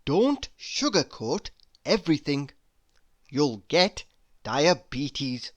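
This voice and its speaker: A man reading humorous quotes aloud in a deliberate, mock-inspirational manner. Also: a little high, big pitch swings. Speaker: reader.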